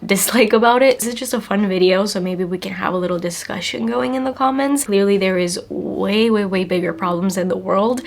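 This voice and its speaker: Congested young woman chatting about perfume. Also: mid-range voice. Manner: chatty, emphatic, repeats words for stress. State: congested from a cold. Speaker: perfume reviewer, channel host. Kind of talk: casual talk to camera. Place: small home room, indoors.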